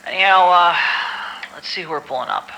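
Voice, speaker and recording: Male, exasperated medical staffer acted by a man for audio drama. Very forceful, bright tone, slightly high, formal report reading with grumbled asides, faint noise behind. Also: fairly quick, poor audio. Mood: brisk, instructing. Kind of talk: amateur audio drama acting.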